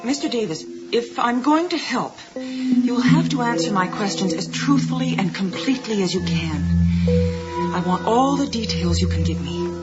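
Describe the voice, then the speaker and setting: An actress playing an earnest, methodical woman psychiatrist, speaking in a measured, probing way in a radio drama. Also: on the high side, animated, natural voice. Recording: dull 1980s radio broadcast with music.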